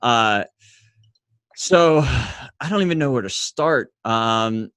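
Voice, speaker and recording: A man's voice: mid-range voice, lively intonation, takes it slowly, a bit bright, no echo. A man hosting a podcast, talking in a loose, enthusiastic way with casual fillers. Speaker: podcast host. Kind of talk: casual interview chat.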